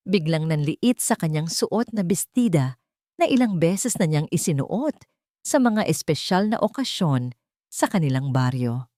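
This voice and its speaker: Female storyteller for romance audio fiction, low voice, very lively intonation, dry close sound. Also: no echo. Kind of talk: scripted dramatic love story narration.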